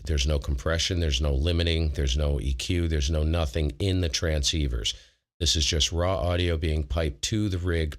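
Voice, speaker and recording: A man with a very low voice hosting a casual ham radio livestream, talking informally and self-deprecatingly, often wandering mid-sentence. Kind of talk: casual technical talk to a live chat.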